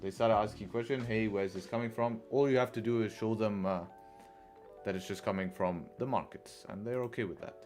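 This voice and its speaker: A man's voice: on the low side, steady tone, a little fast, a little mellow, faint music behind. A man from England hosting a live trading stream, chatting casually, repeating phrases and answering questions from chat. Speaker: livestream trading host.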